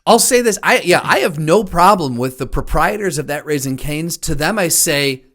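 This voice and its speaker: A man's voice: on the high side, lively intonation, moderate pace, a bit bright. An American man co-hosting a podcast, chatting casually with emphatic, exasperated, profane comic complaints. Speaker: podcast co-host. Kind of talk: casual comedic chat.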